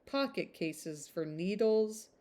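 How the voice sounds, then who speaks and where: A woman reading aloud informally and haltingly, breaking off with casual asides to puzzle over words. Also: medium pitch, animated, darkish tone. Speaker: amateur reader.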